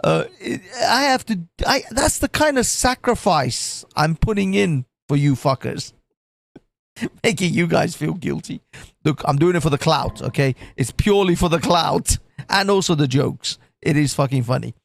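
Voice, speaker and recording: Croaky man hosting a livestream. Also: a little high, lively intonation, moderate pace. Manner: swearing, rambling with many restarts. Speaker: livestream host. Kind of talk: casual livestream chat.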